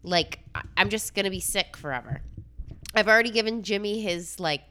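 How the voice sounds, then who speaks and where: Young adult woman, congested, bright tone, blunt and chatty podcast co-host. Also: mid-range voice, animated, moderate pace. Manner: frank, profane, chatty. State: congested with the flu. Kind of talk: casual chat.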